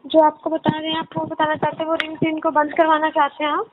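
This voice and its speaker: Female call centre agent, very high voice, polite and formal, on a thin narrowband phone line. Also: monotone, fast talker. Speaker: telecom helpline agent. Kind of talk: scripted customer service talk.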